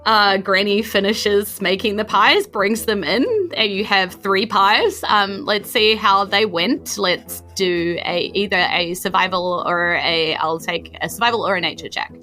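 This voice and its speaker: A woman runs a tabletop game as its dungeon master. Her voice is bright and forward, and she narrates in a casual, conversational way. Faint music plays behind her. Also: mid-range voice, steady tone, unhurried, hardly any pauses.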